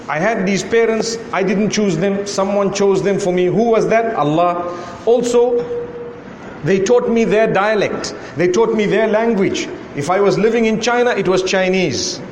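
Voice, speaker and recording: Zimbabwean guy giving a sermon, fairly high voice, keeps asking why and how come, hall echo all around. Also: slight background noise. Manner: rhetorical questioning, repeating "why" and "how come". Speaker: preacher.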